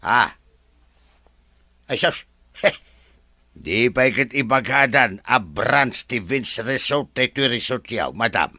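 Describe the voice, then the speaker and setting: A man's voice: mid-range voice, lively intonation, slow, pauses often, bright, forceful tone, dry recording, studio quality, full character voice. An actor playing a deferential male caretaker at a resort in a radio drama. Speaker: worker at the resort.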